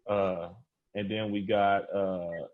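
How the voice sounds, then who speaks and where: Casual podcast host: American male, deep-pitched, informal and slangy, muffled lo-fi recording. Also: fairly even intonation, takes it slowly, a little hiss or hum. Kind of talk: casual unfiltered round-table chat.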